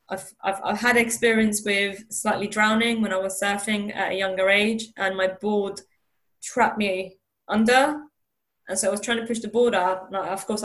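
A woman's voice: mid-range voice, a bit bright. Earnest young woman from Iran. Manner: earnest, with frequent restarts and repeated words. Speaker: interview guest. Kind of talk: candid conversational interview.

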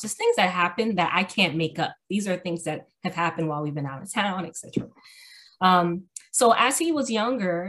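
A middle-aged woman from Miami, a guest author, chatting casually from home with easy laughter and frequent fillers. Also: on the low side. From Miami, Florida. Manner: relaxed, laughing, hesitant fillers. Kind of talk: casual interview. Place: home room.

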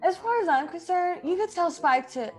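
Woman doing a fed-up jealous sitter girl, high voice, slow and swoopy, radio comedy. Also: expressive, darkish tone, soft background music. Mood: resigned. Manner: put-upon, exasperated. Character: weary, jealous young female dog sitter. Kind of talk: comedic radio play acting.

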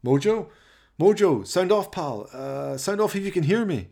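Man playing a flustered, excitable slacker. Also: high voice, normal speed, vocal fry, voice pushed up, exaggerated voice. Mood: groggy, searching. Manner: hesitant, flustered, exclaiming. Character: hapless, scruffy stoner man, excitable and loyal.

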